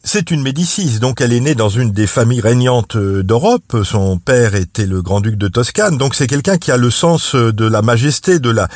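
A man of middle pitch speaks as a history expert in a radio interview. He explains carefully, stopping often to search for words. His voice sounds dry and close, with no room echo. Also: darkish tone. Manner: measured, hesitant explanations with frequent pauses. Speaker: historian and journalist guest.

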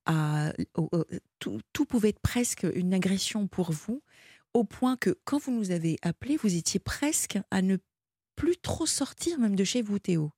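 A woman hosting a late-night phone-in radio show, speaking gently and a little hesitantly while helping her caller along. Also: medium pitch, animated, moderate pace, slightly rough, dry recording, studio quality. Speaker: radio host. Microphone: studio microphone.

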